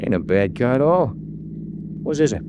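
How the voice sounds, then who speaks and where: Brash cabbie sidekick, very animated pitch , a man acting. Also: moderate pace, lightly acted. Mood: brash, grumbling. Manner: opinionated, slangy working-class talk. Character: Mark, brash streetwise male cab driver sidekick. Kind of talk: 1940s radio drama acting. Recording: noisy vintage radio, vehicle ambience.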